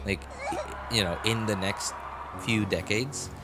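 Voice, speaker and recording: A man's voice: on the low side, steady tone, slow. A man streaming a game, chatting casually and rambling, with many restarts and filler words. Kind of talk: casual chat while gaming on a live stream. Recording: loud game music behind. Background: loud game music.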